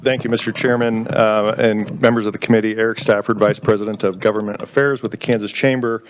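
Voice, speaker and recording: A man's voice: on the low side, fairly even intonation, low-quality recording. A man giving spoken testimony to a legislative committee as a lobbyist. He speaks casually and halts often on fillers. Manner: casual, halting, many fillers. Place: state legislature committee room.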